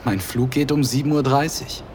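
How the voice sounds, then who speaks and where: A man doing a shy businessman, hesitant, self-conscious, mid-pitched, faint hiss behind, audio drama. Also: moderate pace, a little mellow, close to an everyday voice. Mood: polite, shy.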